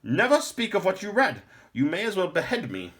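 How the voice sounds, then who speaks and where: A man's voice: high-pitched, huge pitch swings, a little fast, fairly bright tone, pitched above the performer's own voice, exaggerated voice. A man voicing a stern, grave old lore master from a storybook, with archaic, admonishing speech. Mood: urgent, stern. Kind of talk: storybook character acting in a read-aloud.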